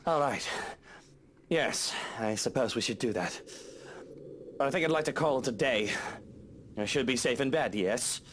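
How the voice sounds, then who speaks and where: A man's voice: mid-range voice, animated, moderate pace, pauses often, fairly bright tone, a little hiss or hum, close to an everyday voice. A man voicing a proud but rattled young aristocrat who stammers and swears when startled. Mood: uneasy.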